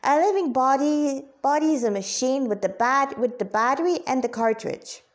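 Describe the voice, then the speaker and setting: Storybook creature companion, voiced by a woman: high, cheerful, chatty explainer with pointed edge. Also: moderate pace, lightly acted. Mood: explaining.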